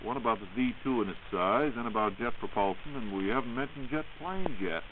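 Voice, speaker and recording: A man's voice: mid-range voice, expressive, brisk, phone-quality sound. An American man interviewing on a 1940s radio science show, posing questions. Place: radio studio. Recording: hissy band-limited 1940s radio recording.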